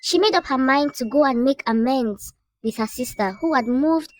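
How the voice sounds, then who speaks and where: A woman narrating a scripted folktale as a storyteller, in a measured, dramatic way. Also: high-pitched.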